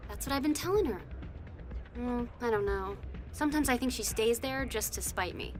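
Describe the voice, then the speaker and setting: A woman's voice: fairly high pitch, moderate pace, soft background music, lightly acted. An actress playing a worried, apologetic young working woman in a video game, hesitant and confiding. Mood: confiding, anxious.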